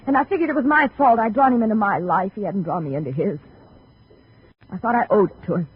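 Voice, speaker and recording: A woman's voice: medium pitch, fast talker, husky. An American woman playing a world-weary, self-blaming dame in a radio drama, plain-spoken and resigned. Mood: weary, bitter. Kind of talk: 1950s radio drama acting. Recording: tinny, narrow-band 1950 radio broadcast.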